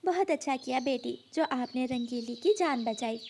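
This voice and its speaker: A gentle cartoon mother bird, voiced by a woman, protective and caring. Her voice is high and lively, with faint noise behind it. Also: normal speed. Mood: warm, approving. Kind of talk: children's cartoon voice acting.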